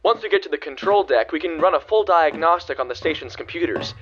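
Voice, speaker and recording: An actor playing a young male space cadet leader in a radio drama, youthful, crisp and take-charge. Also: a little high, expressive, fast talker, fairly bright tone, dull audio, slight background noise. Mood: businesslike. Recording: music under dialogue.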